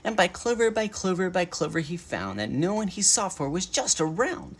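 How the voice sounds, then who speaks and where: A man reading a children's storybook aloud in an animated narration style, as a bedtime story livestreamer. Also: high voice, moderate pace, husky.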